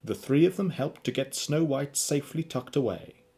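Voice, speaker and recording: Mellow-voiced man narrating a story. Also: mid-range voice, lively intonation, moderate pace.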